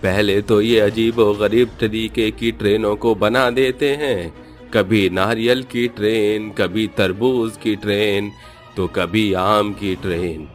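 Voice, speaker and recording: A man voicing a baffled, exasperated train passenger grumbling in a cartoon. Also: fairly low pitch. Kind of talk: cartoon acting.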